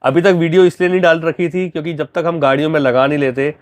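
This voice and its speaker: A man's voice: fairly high pitch, steady tone, quick pace, dry recording. A man explaining products conversationally as a dealer and installer. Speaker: car audio dealer and installer.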